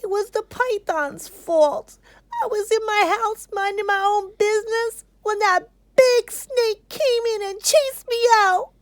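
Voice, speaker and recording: Squeaky, timid little rabbit. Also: female voice, unhurried, no room sound. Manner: squeaky, meek, pleading. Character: timid little female rabbit, trembling and defensive.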